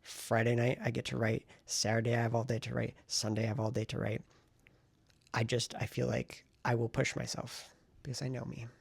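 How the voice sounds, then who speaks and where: A man talking casually to camera in a vlog, rambling and thinking aloud in a self-reflective way, with a gravelly, husky voice. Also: mid-range voice, level tone, moderate pace, no echo. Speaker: writing vlogger and novelist.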